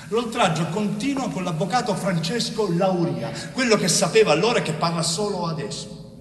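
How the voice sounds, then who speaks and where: A man's voice: high voice, lively intonation, moderate pace, slight background noise. An actor playing a wronged innocent man in middle age in an intense stage monologue, bitter and weary, repeating phrases like an incantation. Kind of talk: dramatic theatre monologue. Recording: echoey theatre hall, distant mic, music.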